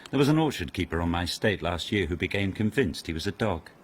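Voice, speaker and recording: An actor playing a sardonic, imperious aristocratic lord in a radio period mystery, with a pompous, commanding manner. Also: male voice, low-pitched, expressive, quick pace, a little hiss or hum. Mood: amused disdain. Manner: commanding, pompous, drawling scorn.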